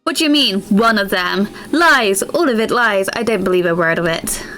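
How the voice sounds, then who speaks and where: A woman reading out a hot-headed, dramatic young man who loudly and indignantly defends himself. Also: medium pitch, animated, moderate pace, soft background music.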